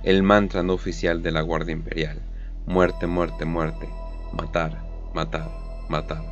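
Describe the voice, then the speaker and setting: A low-pitched man narrates a dark war story in a solemn, deliberate manner. Music plays clearly behind his scripted, dramatic reading. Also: flat delivery.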